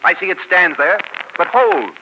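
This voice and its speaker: An actor playing a gallant, confident outlaw hero in disguise, speaking in courtly tones, in 1940s radio acting. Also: male voice, sits high, lively intonation, normal speed, bright, forceful tone. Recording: muffled, noisy 1940s radio broadcast.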